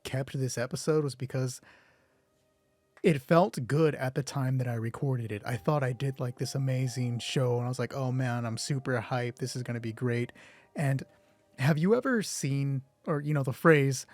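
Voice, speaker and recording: A man's voice: medium pitch, normal speed, a little mellow. A man hosting a solo roleplay show in casual, rambling, self-deprecating commentary, swearing offhandedly. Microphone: close mic with gain set high.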